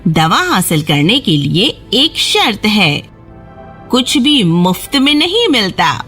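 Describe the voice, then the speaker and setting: A woman voicing a menacing sea witch who bargains in a slow, taunting way for a children's fairy tale cartoon. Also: medium pitch, big pitch swings, a bit bright, faint music behind.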